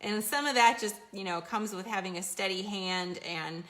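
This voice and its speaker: A chatty, encouraging woman teaching a live painting lesson, her voice bright and forward. She is slightly hoarse from losing her voice and talks to viewers while she works at her easel. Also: medium pitch, moderate pace. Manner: chatty, encouraging, reads viewer comments aloud. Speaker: painting instructor. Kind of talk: live paint-along demonstration. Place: home art studio at an easel.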